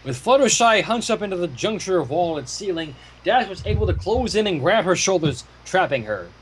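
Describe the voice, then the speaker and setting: A man with a fairly high, very lively voice narrates a story expressively. He slips into casual asides between passages, and there is faint noise behind him. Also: fairly quick, rough recording. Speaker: fan fiction reader.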